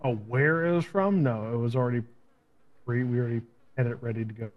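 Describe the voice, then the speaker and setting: A man playing a cagey, low-ranking criminal henchman under questioning in an improvised online roleplay, answering curtly and offhandedly. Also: fairly quick, natural voice. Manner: curt, noncommittal, offhand. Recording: dull lo-fi voice chat.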